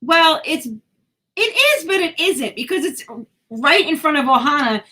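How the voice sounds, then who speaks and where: A woman's voice: high voice, sing-song, measured pace, pushed and bright. A woman co-hosting a podcast in casual chat, talkative and self-deprecating, sometimes tripping over her words. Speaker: podcast co-host.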